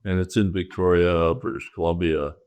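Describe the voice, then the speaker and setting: Male Canadian architect guest, deep, reflective casual talk. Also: fairly even intonation, normal speed, darkish tone, clean tone. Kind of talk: casual conversation.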